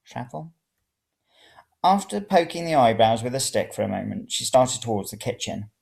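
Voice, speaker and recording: A narrator reading first-person serial fiction in an expressive, dramatic way. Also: mid-range voice, moderate pace, vocal fry. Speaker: story reader.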